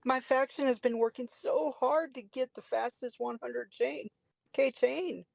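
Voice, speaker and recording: A woman's voice: sits high, measured pace, creaky, darkish tone, no room sound, heavily acted. A woman voicing a meek, cowardly male faction leader, mild and whimpering as he pleads in a hobby audio drama. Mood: pleading, tearful.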